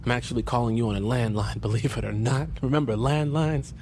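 An actor playing a shifty slacker who fibs in a hesitant, rambling, falsely sincere way, in a comedy audio drama. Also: male voice, mid-range voice, fairly even intonation, fast, background noise, lightly acted. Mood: fake sincerity. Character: shifty, fast-fibbing young slacker dodging debt collector.

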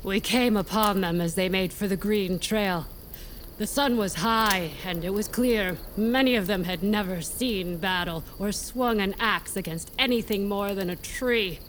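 A woman's voice: mid-range voice, a little slow, slight background noise, close to an everyday voice. An actress playing a fierce woman warrior who bluntly reports a raid. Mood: proud.

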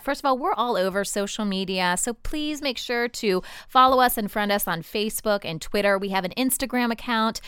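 Clear-voiced woman giving casual podcast announcements. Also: mid-range voice, a bit bright, no room sound, studio quality. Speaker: podcast show regular. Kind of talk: casual explanatory announcements to listeners. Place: studio.

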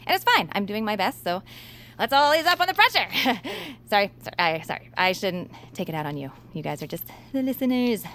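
A woman playing a nervous, apologetic, self-doubting young radio host in a scripted audio drama, speaking haltingly. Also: mid-range voice, big pitch swings, a bit bright, slight background noise. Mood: flustered, apologetic.

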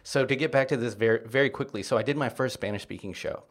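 A man in his forties, a comedian guest chatting casually on a podcast, telling animated stories with wry jokes and frequent laughs. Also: mid-range voice, quick pace.

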